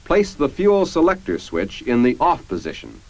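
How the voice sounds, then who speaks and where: An American man reading scripted 1950s military training-film narration in a formal, measured, instructional manner. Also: medium pitch, huge pitch swings. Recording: dull, band-limited 1950s film soundtrack.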